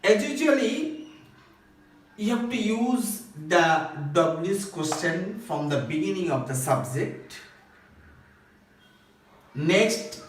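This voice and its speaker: A man with a Bangladeshi accent teaching a school English grammar lesson, explaining deliberately and emphatically phrase by phrase. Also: fairly high pitch. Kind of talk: recorded classroom grammar lesson. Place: echoey indoor room at a board. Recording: very echoey room, distant microphone.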